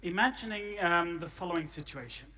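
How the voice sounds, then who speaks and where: A man from Finland, giving a live talk to an audience in a conversational way with thinking pauses. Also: high-pitched, lively intonation, bright, forceful tone. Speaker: presenter.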